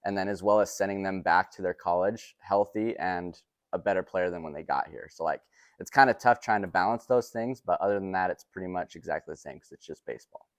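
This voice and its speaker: A man's voice: low-pitched, a little fast, no echo. A man in his twenties from Utah, a baseball coach answering interview questions casually. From Utah, United States.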